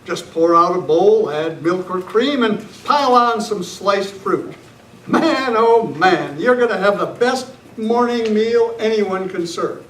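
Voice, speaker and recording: A man playing an upbeat announcer for an old-time children's radio serial, narrating and pitching the sponsor. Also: animated, slow, fairly bright tone, exaggerated voice. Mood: upbeat selling. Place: club meeting room, far camera. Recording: echoey, distant camera sound, faint noise.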